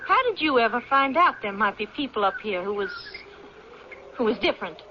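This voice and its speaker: A tough young backwoods girl, voiced by a woman in a vintage radio drama. Her voice is high and her orders are curt and folksy. The sound is dull and clearly hissy. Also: lively intonation, fairly quick, close to an everyday voice. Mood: guarded, commanding. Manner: curt, commanding, folksy rural grammar. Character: tough, suspicious young mountain girl with a rifle. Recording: muffled, noisy old radio broadcast.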